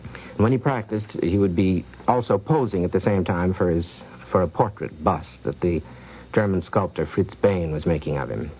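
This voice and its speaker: A man recounting anecdotes in an unhurried, reflective way on a 1950s radio recording. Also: low voice, lo-fi sound, slight background noise. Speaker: film producer.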